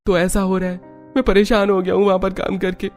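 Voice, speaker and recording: Cartoon dad male actor, notably high-pitched, agitated, short-tempered, music underneath. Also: level tone, a little fast, a little mellow. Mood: worried. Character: hot-tempered, troubled working father, man.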